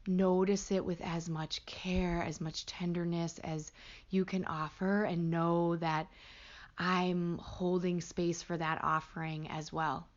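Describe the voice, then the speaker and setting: Slow, low-voiced woman guiding a meditation. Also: little pitch movement, muffled recording. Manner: gentle, hushed, pausing between short phrases. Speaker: meditation teacher. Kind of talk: guided meditation.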